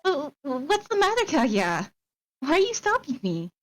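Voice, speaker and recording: A woman's voice: high voice, huge pitch swings, moderate pace, voice pushed up. A woman voicing a nervous, polite young mistress in a visual novel, with an airy show of innocence. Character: nervous, polite young mistress making excuses to her servant.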